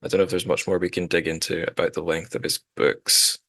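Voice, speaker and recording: A man's voice: low voice, brisk, creaky, fairly bright tone, dry recording, studio quality. A man hosting a podcast, talking casually about books in a rambling, self-correcting way. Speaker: podcast host. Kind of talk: casual literary discussion.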